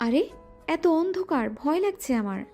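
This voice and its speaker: A woman playing a coy, high-voiced young wife. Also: sing-song, normal speed, darkish tone. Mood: playful. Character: shy, playful young wife. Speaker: voice actress. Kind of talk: audio drama acting. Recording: music bed underneath.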